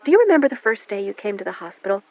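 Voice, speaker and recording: A woman's voice: medium pitch, big pitch swings, very fast, pitched above the performer's own voice. A woman voicing a cold, accusing daughter. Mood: wistful. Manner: quiet then insistent, demanding. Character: adopted young adult daughter, cold and accusing. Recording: narrow phone-like band, dry.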